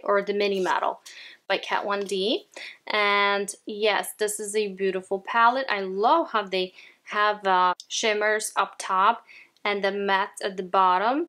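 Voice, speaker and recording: A woman's voice: medium pitch, fairly bright tone. A woman with a Ukrainian accent chatting casually at home as a beauty vlogger, often turning to her viewers as "you guys". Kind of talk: casual makeup declutter chat. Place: at home, indoors.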